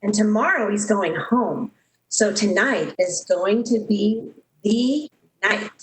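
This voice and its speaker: A woman's voice: mid-range voice, slow pace, a little hiss or hum, lightly acted, low-quality recording. A woman playing a dreamy, lovestruck young switchboard operator in 1930s radio style, earnest and gushing. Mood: earnest, devoted.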